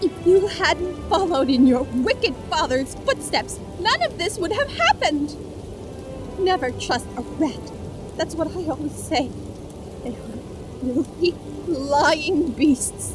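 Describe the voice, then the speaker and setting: Very high, squeaky little creature. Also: female voice, expressive, takes it slowly, over music. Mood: indignant, accusing. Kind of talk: fantasy audio drama acting.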